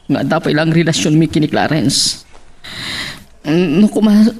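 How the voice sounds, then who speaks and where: A woman's voice: low-pitched, expressive, a little slow, a little mellow, recorded from a distance, full character voice. A woman playing an emotional, pleading young wife in a melodramatic audio drama. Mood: defensive. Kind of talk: Ilocano audio drama acting.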